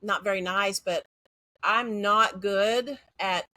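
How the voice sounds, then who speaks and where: A woman's voice: mid-range voice, steady tone, takes it slowly, bright, forceful tone, dry recording. A woman from Central Texas with a Texan accent, a guest talking frankly and confidently in a casual interview. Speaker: guest, educator.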